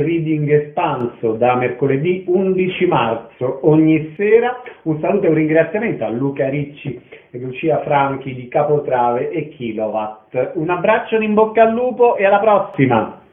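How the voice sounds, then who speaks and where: A man's voice: a little high, expressive, measured pace. A man hosting a radio programme, presenting and interviewing guests. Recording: narrow-band, echoey, lo-fi sound.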